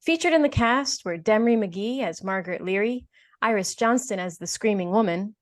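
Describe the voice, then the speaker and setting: A woman's voice: mid-range voice, animated, moderate pace. A woman delivering scripted announcements and credits in an old-time radio style.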